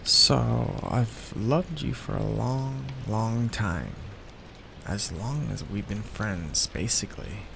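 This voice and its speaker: A man voicing a soft-hearted young male mafia heir in an ASMR roleplay, talking in a hesitant, sheepish way with many fillers. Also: on the low side, slow pace, vocal fry, background noise. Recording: steady rain sounds behind the voice.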